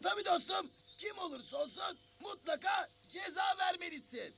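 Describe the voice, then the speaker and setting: A man's voice: extremely high pitch, animated, moderate pace, creaky, pushed and bright, shouting, a little hiss or hum, exaggerated voice. A man voicing a grumpy, self-important chatterbox bird in a children's cartoon, theatrical and nagging. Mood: smug.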